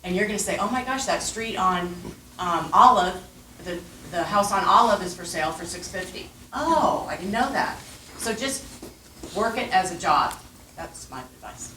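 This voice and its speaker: A woman with a loud voice giving emphatic, energetic advice as a panelist. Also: lowish voice, moderate pace, a little hiss or hum. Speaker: real estate panelist. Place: meeting room. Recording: echoey room from a distance.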